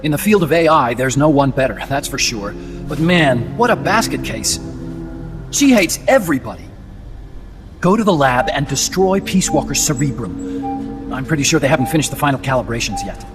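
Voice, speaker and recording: An actor playing an earnest, disillusioned scientist in a video game, explaining things in a talkative, serious way. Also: male voice, mid-range voice, expressive, moderate pace, close to an everyday voice. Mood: confiding, uneasy. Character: earnest, disillusioned male nuclear weapons scientist, unable to walk. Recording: loud music behind. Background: music.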